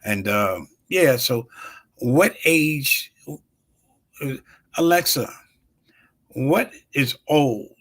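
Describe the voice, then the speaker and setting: A man in his sixties hosting his own show, talking casually with lots of pauses and fillers and greeting viewers by name. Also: medium pitch, lively intonation, fairly bright tone. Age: 60s. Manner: rambling, halting, many fillers. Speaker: show host. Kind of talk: casual live-stream talk.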